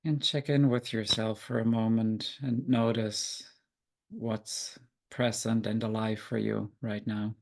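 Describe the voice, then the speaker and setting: A man leads a guided meditation in a lowish, very creaky voice. His intonation stays fairly even as he offers gentle, unhurried instructions, leaving long pauses between them.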